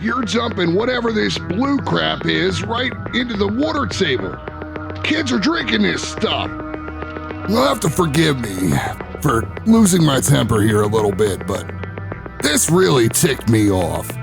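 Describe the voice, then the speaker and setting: A man playing a brash, hot-tempered ex-wrestler turned radio show host, gruff and plain-spoken, in an audio drama. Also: on the high side, very animated, measured pace, full character voice. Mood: angry, confrontational. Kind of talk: audio drama narration and dialogue. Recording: music bed under the voice.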